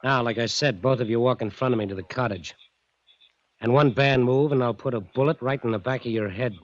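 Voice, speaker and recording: A man's voice: medium pitch, brisk, lightly acted. An actor playing a hard, bullying gunman with an American accent in 1940s radio drama, barking curt orders. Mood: curt menace. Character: hard, bullying male gunman holding captives. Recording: muffled, hissy old radio recording.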